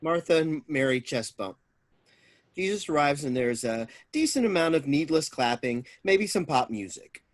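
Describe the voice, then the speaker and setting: A man's voice: fairly high pitch, expressive, normal speed. A man reading stage directions as scripted narration.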